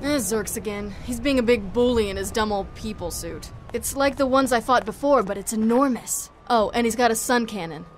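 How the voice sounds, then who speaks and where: A woman's voice: fairly high pitch, normal speed, hardly any pauses, slight background noise, lightly acted. A woman playing a tough, sardonic half-vampire action heroine in a video game cutscene, wry and mocking.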